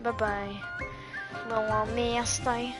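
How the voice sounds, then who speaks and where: A woman's voice: on the high side, flat delivery, takes it slowly. A woman streamer giving casual gameplay commentary with playful, joking asides. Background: game music.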